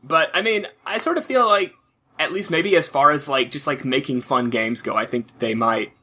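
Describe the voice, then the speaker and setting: Bright-voiced rambling man chatting casually. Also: on the high side, moderate pace. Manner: rambling, heavy use of "like". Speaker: podcast host. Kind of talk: casual chat about video games.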